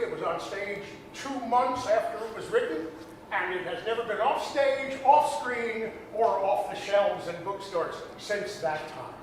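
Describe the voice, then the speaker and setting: A man introducing a show as host in an informal welcome speech. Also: high voice. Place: performance hall stage. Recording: very echoey hall, distant, faint noise.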